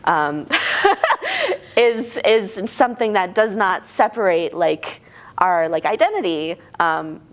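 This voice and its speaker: A woman's voice: medium pitch, very animated, slow pace. A young woman in her twenties, an actress from Arizona, talking casually in an interview with frequent fillers, restarts and laughs. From Tucson, Arizona, US. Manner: hesitant, many fillers and restarts, laughs often. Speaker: actress guest.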